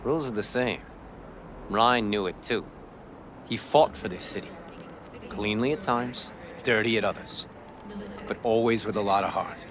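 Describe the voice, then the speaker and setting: A man's voice: lowish voice, a little slow, long pauses, crowd noise, lightly acted. A man playing a cynical, world-weary corrupt cop in a video game, with an American accent and scornful, lecturing street talk. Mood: insistent, bitter.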